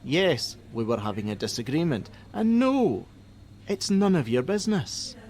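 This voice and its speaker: A man's voice: fairly high pitch, big pitch swings, normal speed, darkish tone. A middle-aged man, playing an irritable, evasive father who works on a helpline in a radio play. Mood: irritated. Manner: curt, sarcastic. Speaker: helpline worker.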